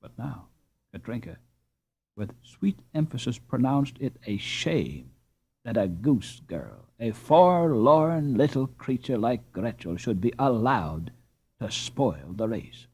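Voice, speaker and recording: Lively storybook reading by a man. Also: medium pitch, takes it slowly, slightly rough. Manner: gentle expressive reading with lilting emphasis. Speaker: audiobook narrator. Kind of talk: scripted storybook narration. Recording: dull lo-fi recording.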